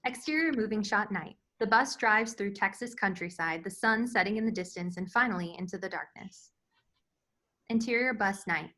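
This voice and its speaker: A woman's voice: mid-range voice, normal speed, sharp tone. A woman reading stage directions as scripted narration for a screenplay table read. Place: remote virtual table read.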